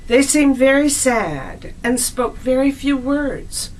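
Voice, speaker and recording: A woman narrating a scripted children's story as a storyteller, in a deliberate, expressive read-aloud manner. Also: high voice, slight background noise, poor audio.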